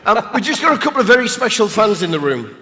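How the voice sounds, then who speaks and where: A man's voice: high-pitched, sing-song, fast, pushed and bright. A man hosting a live stage event, upbeat and rallying the crowd, losing his voice. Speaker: event host. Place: large venue with live audience. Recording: reverberant hall with crowd noise.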